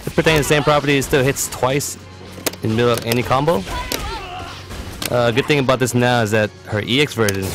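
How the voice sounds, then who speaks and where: A man giving explanatory video game tutorial commentary in a casual, matter-of-fact way. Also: medium pitch. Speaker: competitive gamer presenting a character guide. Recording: voice-over with music behind. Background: game music.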